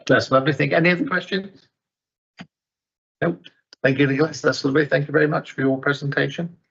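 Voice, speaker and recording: Casting note: husky, gravelly committee chair, polite and hesitant, on a dull recording. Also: male voice, a little high, fairly even intonation, fast talker, a bit bright. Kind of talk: chairing a council meeting.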